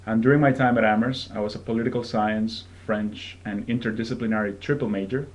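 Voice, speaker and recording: A young man from Venezuela in his twenties presents his college to prospective students in a friendly, chatty way. His voice sits on the low side, and he pauses often with ums. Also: fairly even intonation. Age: 20s. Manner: friendly, conversational, with frequent ums. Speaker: college admission fellow.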